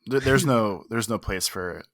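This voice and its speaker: A man's voice: fairly low pitch, measured pace, fairly bright tone, dry recording, studio quality. A man co-hosting a casual show review, wry and self-deprecating, sometimes swearing. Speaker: podcast co-host. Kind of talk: casual chat.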